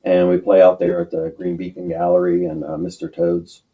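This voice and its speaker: A man's voice: sits low, monotone, brisk, narrow, tinny audio. An American man from western Pennsylvania talking casually as a band member being interviewed. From Western Pennsylvania, United States. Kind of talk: casual chat.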